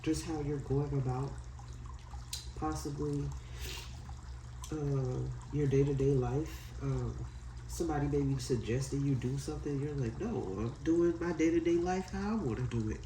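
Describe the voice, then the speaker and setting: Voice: medium pitch, normal speed, dark tone, far from the mic, slight background noise. A tarot card reader with a stuffy nose from a head cold, chatting loosely and apologetically and reading aloud from a guidebook. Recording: echoey room, set back from the mic.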